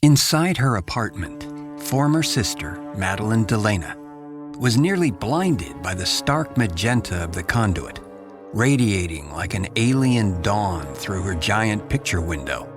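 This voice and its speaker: A man narrating a science-fiction radio drama as a solemn storyteller, measured and dramatic. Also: fairly low pitch, lively intonation, music in the background.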